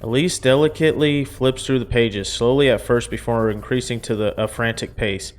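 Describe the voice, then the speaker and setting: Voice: mid-range voice, normal speed. A game player reads visual novel narration aloud in a storytelling style. Casual side comments break in, and there is faint music underneath.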